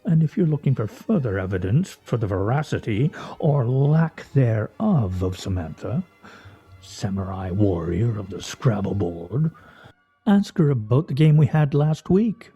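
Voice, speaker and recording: A theatrical, needling husband in a radio play. His voice is very dark and husky, and he talks in florid mock-formal phrases with swooping pitch. The recording is slightly dull, with music behind. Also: sing-song, measured pace, exaggerated voice. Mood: grandiose, accusing. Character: pompous, needling, theatrical husband and scrabble player.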